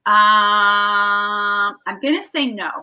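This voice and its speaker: A woman's voice: medium pitch, drawn-out words, pushed and bright, clean tone, muffled recording, faint music behind. A pregnant woman in her late thirties co-hosting a podcast, frank and matter-of-fact with dry jokes. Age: late 30s. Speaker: podcast co-host. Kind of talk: casual chat.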